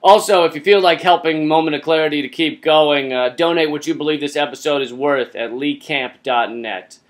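A high-voiced American man hosts a comedy rant to camera. He speaks with biting sarcasm and heavy emphasis, like someone delivering a satirical monologue. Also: normal speed. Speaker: host of a satirical political comedy web show.

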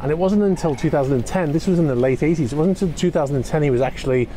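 Man in his forties, selfie vlogger on a dock, mellow voice, faint outdoor noise, loose rambling delivery. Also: on the high side, racing. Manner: chatty, rambling, with frequent fillers and restarts. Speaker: yacht vlogger. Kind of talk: casual walk-and-talk vlog. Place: outdoor marina dock in cold wind. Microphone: phone held at arm's length, selfie framing.

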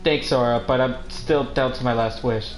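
A man's voice: mid-range voice, fairly even intonation, normal speed, soft background music. A man streaming a game, chatting casually and jokingly and reading dialogue aloud as an amateur. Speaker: streamer voicing game cutscenes. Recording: roomy echo, faint background noise.

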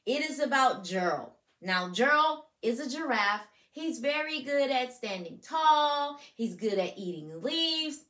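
Animated, high-voiced woman reading to children. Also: unhurried, few pauses. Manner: expressive, addresses young listeners as friends. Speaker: school counselor and read-aloud host. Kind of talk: animated children's storybook read-aloud and introduction. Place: furnished room at home, indoors.

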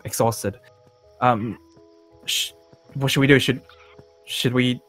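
A man's voice: mid-range voice, fairly even intonation, takes it slowly, fairly bright tone, faint music behind. A man playing a nervous, forgetful celebrity in a Minecraft zombie roleplay, hesitant and stammering as he repeats his words. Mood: flustered. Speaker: roleplay player. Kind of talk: improvised roleplay acting in a Minecraft series.

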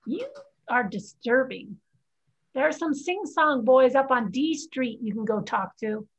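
A woman's voice: high-pitched, expressive, a little slow, exaggerated voice. A woman playing a proud, guarded young person living as a boy, terse and firm in stage dialogue. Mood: guarded, defiant. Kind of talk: dramatic dialogue in a play reading. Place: indoors at home on a video call. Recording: dull video-call audio.

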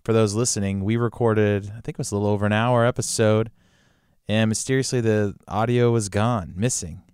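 A man's voice: lowish voice, steady tone, fairly quick, darkish tone, clean tone, no echo, clean studio sound. A man hosting a podcast, chatty and enthusiastic, often repeating words as he talks. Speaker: podcast host. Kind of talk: casual interview chat.

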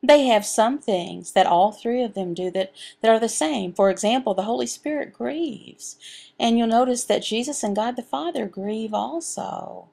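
A woman voicing a signed sermon as interpreter, in a halting, phrase-by-phrase delivery. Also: on the high side, vocal fry, mellow. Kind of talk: sermon voiced from sign language.

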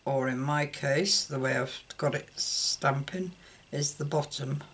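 Older lady doing a card craft how-to, really unhurried, bit of noise and clicking behind her. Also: a bass voice, fairly bright tone. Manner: halting, thinking aloud as she works. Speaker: craft tutorial host. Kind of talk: unscripted card-making demonstration. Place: indoors at a craft table. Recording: clear background noise, clicks.

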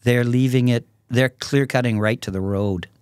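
A man in his sixties speaking casually and reflectively as a podcast guest, in a halting, thoughtful way. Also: medium pitch, steady tone, a little mellow, clear, resonant tone, dry recording, clean studio sound. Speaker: podcast guest, artist and songwriter. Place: small podcast studio with curtains. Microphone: close podcast microphone on a stand.

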